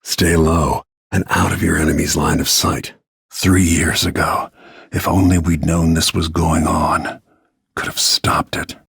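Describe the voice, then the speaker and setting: An actor playing a grim, vengeful middle-aged assassin in a video game, brooding in a gravelly, husky voice with low-key menace. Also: male voice, flat delivery, unhurried, fairly bright tone, no room sound, clean studio sound, exaggerated voice. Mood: hushed menace. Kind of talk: dramatic game voice lines, brooding inner monologue.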